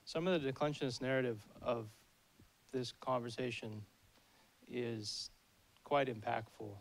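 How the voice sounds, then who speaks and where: A man in his thirties giving a keynote Q&A from a podium in a large hall, speaking in a thoughtful, measured way into a handheld mic. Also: medium pitch, steady tone, creaky. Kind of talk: lecture Q&A.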